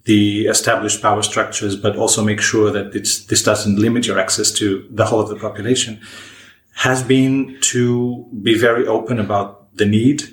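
Guest linguist, male, measured and deliberate, roomy sound. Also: fairly low pitch, little pitch movement. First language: Portuguese. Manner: measured, thoughtful, frequent restarts. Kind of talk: podcast discussion. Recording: roomy echo.

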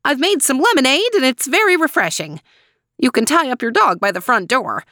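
A screechy, suspicious old woman from a storybook. Her voice is very high and strong, swooping widely. She is curt and hard of hearing. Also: big pitch swings, moderate pace, pushed and bright, dry recording, studio quality, exaggerated voice. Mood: softened, coaxing. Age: older. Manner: curt, accusing questions. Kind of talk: animated storybook character voice.

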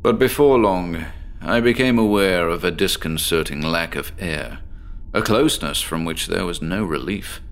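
A man playing an eccentric, sleepless professor who confides his obsession in a measured, articulate, formal way, as a horror audio drama monologue. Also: low-pitched. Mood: confiding, intense, then anxious. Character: eccentric male insomniac professor, obsessive, confiding, recounting strange experiments.

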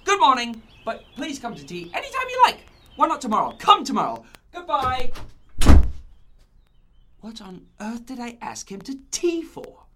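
A man's voice: very high voice, huge pitch swings, moderate pace, heavy background noise, exaggerated voice. A man playing a fussy, polite hobbit in an audio drama, flustered and given to exclaiming. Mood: flustered refusal.

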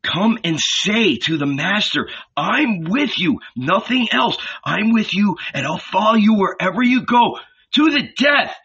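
A man voicing an excited, persuasive male shepherd disciple who urgently pleads with a friend. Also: sits high, a little slow, slightly rough, pitched above the performer's own voice, exaggerated voice. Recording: dull lo-fi recording.

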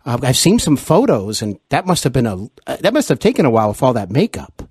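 A man hosting a podcast interview in a casual, complimentary way, with halting phrasing. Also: mid-range voice, sing-song, darkish tone, husky, no echo, studio quality.

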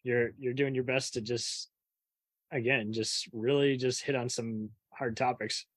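A man's voice: mid-range voice, steady tone, a little slow, a bit bright, dry recording. A man hosting a casual interview, agreeable and slangy, often chiming in to affirm his guest. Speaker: podcast interviewer and host.

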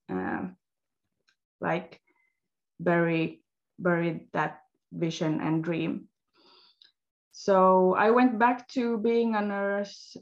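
A woman speaking slowly and haltingly, pausing to search for her words. Her lowish voice has a gravelly fry to it. The audio sounds dull and lo-fi. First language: Swedish. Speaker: guest, health coach. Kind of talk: interview storytelling.

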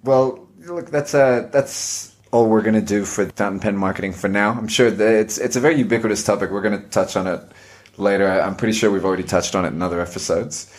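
A man with an Australian accent, co-hosting a podcast in relaxed, rambling casual chat. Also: lowish voice, a little fast. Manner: relaxed, self-deprecating, rambling. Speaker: podcast host.

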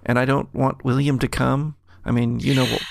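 A man's voice: medium pitch, flat delivery, moderate pace, gravelly, no room sound, clean studio sound, lightly acted. A man voicing an anxious, unsure young woman in a tabletop game, with a hesitant, questioning, rambling manner. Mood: worried, flustered.

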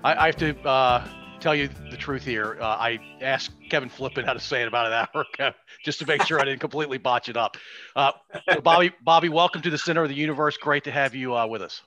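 A man's voice: medium pitch, fast, pushed and bright, soft background music. A man in his fifties hosting a podcast, chatting casually with a guest and hesitating now and then. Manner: friendly, hesitant with fillers. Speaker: podcast host. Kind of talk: casual interview chat.

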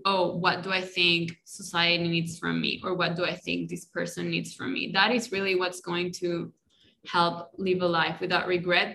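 A woman's voice: fairly low pitch, slight background noise. A woman from Colombia with a Colombian Spanish accent, speaking English as a second language as a guest on a podcast interview. She talks in a reflective, casual way and often checks in with "right?" and "you know.". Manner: warm reflective talk with many "right?" and "you know" tags. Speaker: guest, life coach.

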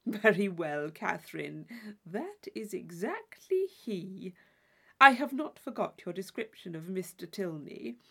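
A woman's voice: medium pitch, big pitch swings, a little slow, creaky, pushed and bright, slightly rough, pitched below the performer's own voice, full character voice. A woman voicing an affected, gushing young society lady in an audiobook of a classic novel, with theatrical emphasis. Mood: gushing, coy.

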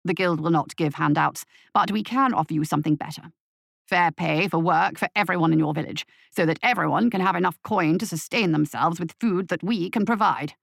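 A woman's voice: fairly low pitch, brisk, vocal fry, no room sound, studio quality, voice pushed down. A woman voicing a pompous, aristocratic male emissary who speaks in a haughty, clipped and dismissive manner.

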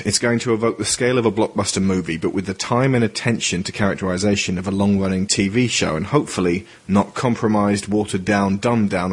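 A man's voice: sits low, slightly rough. A man reading scripted narration as the narrator of an audio drama. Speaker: narrator and project creator. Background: music.

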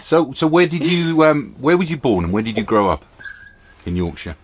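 A man's voice: mid-range voice, sing-song, moderate pace. A man co-hosting a casual chat, asking questions in a jokey, teasing way. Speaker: co-host.

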